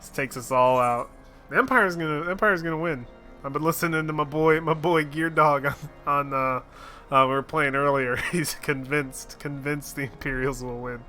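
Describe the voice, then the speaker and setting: A man's voice: on the high side, normal speed, pushed and bright, faint music behind. A man streaming a game, casually joking and swearing as he reacts to it. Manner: joking, swearing, laughs often.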